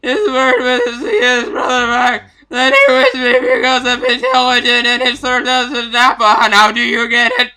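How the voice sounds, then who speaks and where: A man voicing a gloating, impatient alien warrior villain in a theatrical, drawn-out way, as in a fan fiction reading. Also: extremely high pitch, fairly even intonation, pushed and bright, smooth, pitched above the performer's own voice, exaggerated voice. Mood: loud, exasperated.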